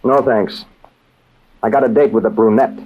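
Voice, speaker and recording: An actor playing a tough, loyal American adventurer with terse, slangy, hard-boiled lines in a 1940s radio drama. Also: male voice, fairly low pitch, fairly even intonation, normal speed, husky. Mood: subdued, resolute. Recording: muffled vintage radio recording, faint noise.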